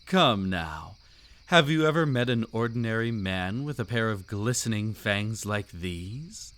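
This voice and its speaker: Man playing a slow, seductive vampire count. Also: fairly low pitch, lively intonation, fairly bright tone, slight background noise. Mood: smug, enticing. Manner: velvety, taunting, theatrically aristocratic, drawn-out delivery. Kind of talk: scripted romantic roleplay audio, intimate monologue.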